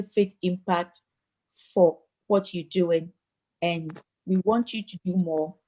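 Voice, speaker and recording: A woman's voice: mid-range voice, warm tone, rough recording. An earnest woman from Ghana speaks in an online community meeting. She leads the talk and sometimes stammers on repeated words before a thought lands. She sounds like she is on a video call. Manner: earnest, repeats words when starting sentences. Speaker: participant moderating a community discussion.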